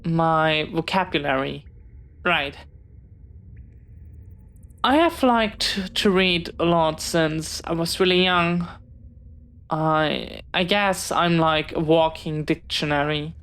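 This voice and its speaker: A woman's voice: deep voice, slow pace, long pauses, a bit bright, heavily acted. A woman playing an eager, friendly, bookish young pirate in an audio roleplay, chatty and well-spoken with a slight hesitance. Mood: curious, friendly. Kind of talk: one-sided audio roleplay acting.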